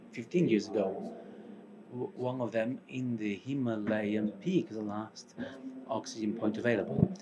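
A middle-aged man with a Portuguese accent presents from a conference stage in short, halting phrases. He has a mid-range voice. The audience can be clearly heard in the hall around him. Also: mid-range voice. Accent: Portuguese with Spanish mixed in. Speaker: company co-founder presenting.

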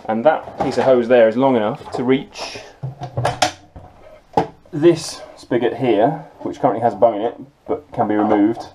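A man in his forties giving a casual, matter-of-fact walkthrough of a small yacht's cabin, filmed on a close handheld camera in a cramped space. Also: medium pitch. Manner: matter-of-fact, practical, with frequent ums. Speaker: sailor giving a boat tour. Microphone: handheld action camera close up. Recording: close camera mic with handling clicks and noise.

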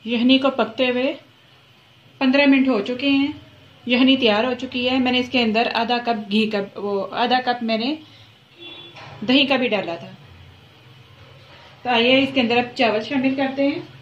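A woman with a fairly high voice walks through a recipe step by step, unhurried and repeating herself as she cooks. Her voice rings in an echoey home kitchen while frying and sizzling from the pot sound clearly underneath. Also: steady tone, dull audio, a little hiss or hum. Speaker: cooking channel host. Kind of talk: step-by-step cooking instruction.

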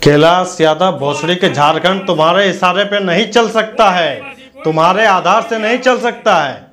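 Guy in his 30s, high voice, sweary scornful political rant into a lapel mic. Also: moderate pace, fairly bright tone. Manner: scornful, abusive, confrontational. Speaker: news commentator. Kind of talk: combative political rant to camera.

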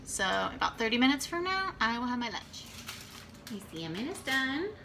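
A woman in her thirties casually showing her meal prep at home, talking in an unhurried way with many fillers. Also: fairly high pitch, very animated, gravelly. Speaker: fitness coach vlogger. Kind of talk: casual how-to vlog. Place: home kitchen, indoors. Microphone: camera on the counter. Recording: echoey kitchen with room noise.

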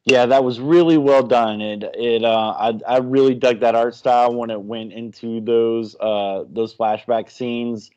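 A man's voice: medium pitch, fairly even intonation, a little slow, few pauses, darkish tone, clean tone. A man co-hosting a casual comic review podcast from a home room, adding short agreeing replies through a headset on a video call. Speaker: podcast co-host. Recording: dull lo-fi video call audio.

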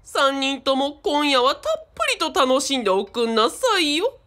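A woman's voice: sits high, lively intonation, moderate pace, a bit bright. A woman playing a flamboyant cult founder turned comic storyteller, with showy old-fashioned patter in a radio drama. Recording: music behind the voice.

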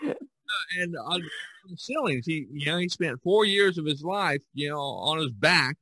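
A man in a casual podcast discussion about art, telling stories in a halting, repetitive way. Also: a little high, animated, bright, forceful tone, slightly rough, rough recording. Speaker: podcast co-host.

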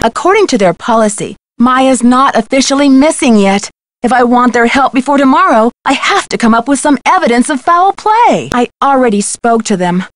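A woman's voice: on the high side, dry recording, clean studio sound, lightly acted. A woman playing a plucky, determined young amateur detective in a video game, earnest and inquisitive. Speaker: voice actress in a detective adventure game. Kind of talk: scripted video game dialogue.

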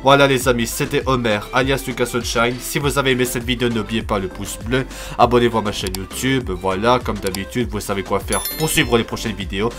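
A man's voice: mid-range voice, fairly even intonation, a little fast. Rambling man over music. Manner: rambling, repetitive, filler-heavy. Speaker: YouTube channel host. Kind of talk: casual cartoon news commentary.

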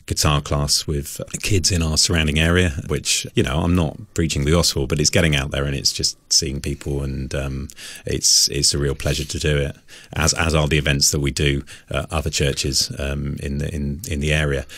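Very deep-voiced man chatting, hesitant. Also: level tone, normal speed, hardly any pauses, a bit bright, dry recording, clean studio sound. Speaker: interview guest, church musician. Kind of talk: casual radio interview chat.

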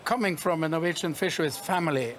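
Hesitant middle-aged Norwegian interviewer. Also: male voice, a little high, fast, a bit bright, a little hiss or hum. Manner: hesitant, many fillers, playful. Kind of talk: casual interview. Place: large indoor expo hall.

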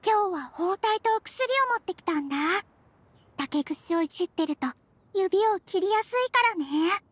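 Very high-pitched caring little girl. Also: expressive, moderate pace, dry recording. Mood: cheerful, helpful. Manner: childlike, speaks of herself by name. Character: caring, cheerful little girl, helpful apprentice. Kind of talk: Japanese anime-style game voice acting.

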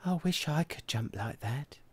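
A man's voice: on the high side, expressive, unhurried, breathy edge, no echo, voice pushed up. A man voicing a small, curious and wistful tadpole boy in a children's storybook, asking with wide-eyed eagerness.

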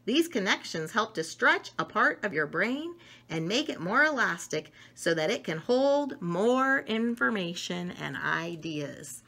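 Woman, middle-aged, animated pitch swings, unhurried pace, children's storybook read-aloud, expressive. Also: slightly rough. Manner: expressive, acting out emotions and small asides. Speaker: storybook reader. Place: room at home with wooden cabinets.

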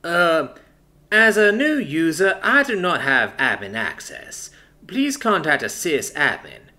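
A man's voice: fairly high pitch, takes it slowly, pitched above the performer's own voice, full character voice. A man voicing a power-suit computer. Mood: robotic. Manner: formal, flat system phrasing.

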